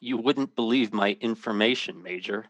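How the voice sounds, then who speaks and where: An earnest, troubled man in an old-time radio drama , played by a male actor. His voice sits a little low and is recorded dry. He speaks with serious intensity. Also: normal speed, no echo, muffled recording, natural voice. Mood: guarded, earnest. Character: earnest, troubled man telling a fantastic story.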